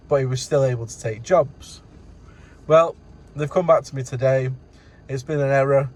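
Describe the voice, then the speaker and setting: A man's voice: medium pitch, darkish tone. A man giving a casual, rambling vlog update as a courier driver, in colloquial everyday speech.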